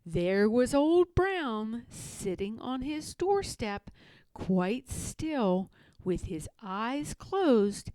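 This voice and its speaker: A woman reading a children's story aloud as an expressive narrator. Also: on the high side, drawn-out words, no echo. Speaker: storybook reader.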